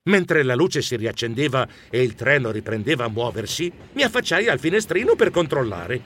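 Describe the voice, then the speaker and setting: A man voicing an anxious, bashful gentlemanly mouse who narrates his own adventure in a children's audiobook, expressive and fretful. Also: medium pitch, moderate pace, a little hiss or hum. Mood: wondering, animated. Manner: expressive, fretful, easily embarrassed.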